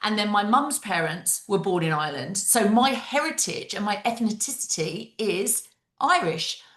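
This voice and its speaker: A woman's voice: medium pitch, expressive, a little slow, fairly bright tone. Middle aged woman on a political panel, emphatic, echoey room. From London, England. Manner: emphatic, repeats phrases for stress. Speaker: party spokeswoman, panel guest. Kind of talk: spontaneous political discussion.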